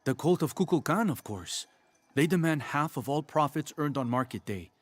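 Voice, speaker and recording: A man's voice: a little high, lively intonation, moderate pace, no echo. A man playing an anxious, pleading market trader in a video game. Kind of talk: video game dialogue acting.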